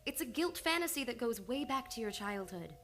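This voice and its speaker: A woman's voice: on the high side, expressive, a little fast, fairly bright tone, faint music behind. A woman playing a composed, earnest psychoanalyst in an old-time radio play, probing and insistent with a patient she cares for. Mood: urgent, reasoning. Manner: insistent, probing, clinical yet affectionate. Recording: live radio play with background noise.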